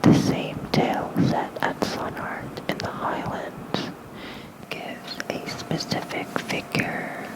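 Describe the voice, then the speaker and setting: Very soft, slow ASMR whisper. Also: low-quality recording. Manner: slow, gentle, hushed reading. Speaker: ASMR creator reading aloud. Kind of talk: whispered ASMR bedtime lore reading. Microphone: close microphone with fluffy windscreen.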